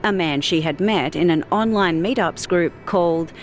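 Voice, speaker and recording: A woman narrating a scripted audiobook story in an expressive storytelling manner. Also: lowish voice, moderate pace, slight background noise.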